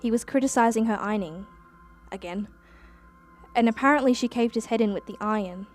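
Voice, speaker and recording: An actress playing a chatty, earnest young time traveller with an Australian accent, narrating a radio play in a breezy, confiding way. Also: female voice, on the high side, a little fast, close to an everyday voice. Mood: hushed sympathy.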